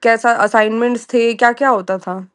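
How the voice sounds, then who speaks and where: A woman's voice: on the high side, fairly even intonation, normal speed, smooth. A woman with an Indian accent interviewing casually over a call, inquisitive and often rephrasing her questions. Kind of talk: casual question-and-answer conversation. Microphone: call recording.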